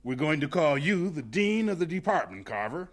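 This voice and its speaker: An actor playing a genial, diplomatic head of an institute in a radio drama, speaking with persuasive authority. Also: male voice, fairly high pitch, sing-song, moderate pace. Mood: tactful.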